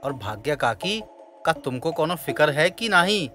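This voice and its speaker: A performer playing a brash young village male in an animated story. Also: mid-range voice, normal speed, music in the background, natural voice. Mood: scolding. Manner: scolding, rustic.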